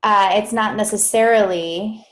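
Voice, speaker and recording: A woman's voice: medium pitch, steady tone, measured pace. A woman coaching students in a live online grammar lesson. She is chatty, often stops to correct herself and laughs at small mishaps. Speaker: Spanish interpreting coach.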